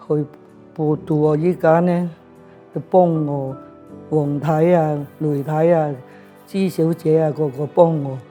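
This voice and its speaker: Man, unhurried, mellow, halting, repeats phrases, personal testimonial, light music underneath. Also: fairly high pitch. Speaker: legal aid client.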